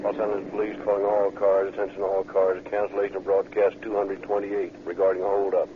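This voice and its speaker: A deep-voiced police dispatcher, played by a man reads calls in a flat monotone. The sound is tinny and hissy, like a crackly old radio broadcast. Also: fairly quick, natural voice.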